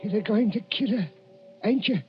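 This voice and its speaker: An actor playing a timid, anxious Cockney accomplice in a radio thriller, nervous and pleading. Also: male voice, high-pitched, normal speed, close to an everyday voice. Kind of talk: 1940s radio thriller acting. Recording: muffled vintage radio recording, music behind.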